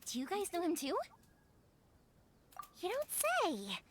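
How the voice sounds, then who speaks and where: A woman's voice: very high voice, slow, background noise, full character voice. A woman playing a cheerful, bubbly young firework girl in a video game, breezy and friendly. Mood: bashful, chatty. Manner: breezy, animated, giggly and friendly. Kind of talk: English video game dub acting. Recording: game music behind the voice.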